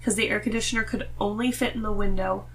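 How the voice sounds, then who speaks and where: A woman's voice: medium pitch, fairly even intonation, fast talker, rough recording. A woman with a nasal voice, talking casually as a podcast guest. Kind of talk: casual conversational chat. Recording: noticeable background noise.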